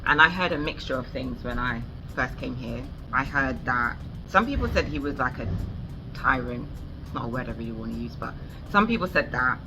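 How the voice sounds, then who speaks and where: A woman's voice: a bass voice, lively intonation, moderate pace, low-quality recording. British woman interviewer with music behind. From United Kingdom. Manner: encouraging, frequent short agreements. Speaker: interviewer and channel host. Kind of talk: casual interview chat.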